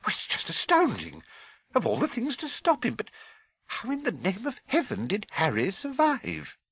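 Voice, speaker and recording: Prim, high-pitched older witch acted by a man. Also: huge pitch swings, normal speed, a bit bright, slightly rough, dry recording, heavily acted. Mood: stunned. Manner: faltering, exasperated, emphatic.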